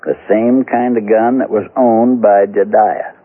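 Rustic American ex-lawman, folksy radio-western storyteller, rough-edged voice, thin vintage radio sound. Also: fairly low pitch, fairly even intonation, measured pace. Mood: grim storytelling. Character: folksy former frontier sheriff telling a grisly tale. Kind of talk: 1970s radio western acting. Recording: muffled, phone-like old radio recording.

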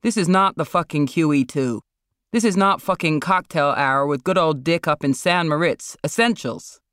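A short-story reader acts out a heavy, gruff older male star. He is bossy and irritable, speaking in a tightly controlled, angry mumble. The recording is dry and close. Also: on the high side, moderate pace, a bit bright, no room sound, lightly acted. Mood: angry, impatient.

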